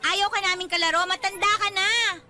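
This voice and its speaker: A woman voicing bratty village children who taunt and shout in a radio drama. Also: very high voice, fairly even intonation, a little fast, a crowd in the background. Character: bossy young village kids at play.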